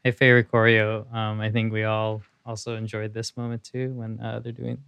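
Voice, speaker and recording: A man with a deep, smooth, resonant voice talks eagerly and loosely. The sound is dry and close, with no room around it. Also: completely flat delivery, moderate pace, a bit bright, no room sound. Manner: enthusiastic, chatty, frequent fillers. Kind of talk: casual reaction chat.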